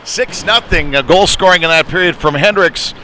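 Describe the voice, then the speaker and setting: Man calling hockey over loud rink noise. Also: a little high, moderate pace. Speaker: live sports commentator. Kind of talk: live hockey play-by-play commentary. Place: indoor community ice rink. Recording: noisy ice arena ambience.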